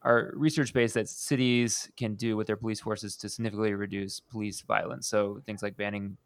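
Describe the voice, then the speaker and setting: A man's voice: lowish voice, flat delivery, a little fast, vocal fry. A man hosting a podcast, upbeat and asking long questions. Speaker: podcast host. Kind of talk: podcast interview.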